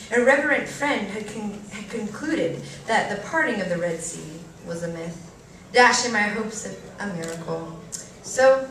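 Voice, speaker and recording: A woman reading a first-person story aloud at a live event, expressively and theatrically, with deliberate pauses and varied emphasis. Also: medium pitch, fairly bright tone. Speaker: reader performing a short story at a live event. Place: live reading in a cafe venue. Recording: echoey room, distant mic, faint noise.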